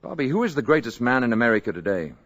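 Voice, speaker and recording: An actor playing a courteous male space visitor posing as an ordinary man, soft-spoken and assured, in 1950s radio drama style. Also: mid-range voice, big pitch swings, rapid-fire, lo-fi sound. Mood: calm.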